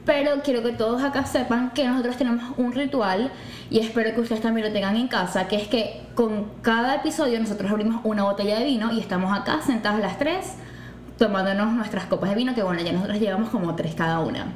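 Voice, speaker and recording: Young venezuelan woman, emphatic and lively, bit high pitched, faint hiss in the room. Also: fast. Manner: animated, emphatic. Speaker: podcast co-host. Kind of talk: casual chat. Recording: echoey room with faint noise.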